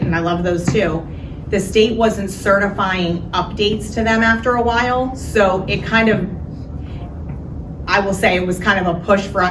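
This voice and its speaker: A woman serving as a county elections director, answering audience questions in an explanatory way and often checking in with "okay?". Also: lowish voice. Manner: explanatory, quick, with frequent "so" and "okay?". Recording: distant roomy mic with noise.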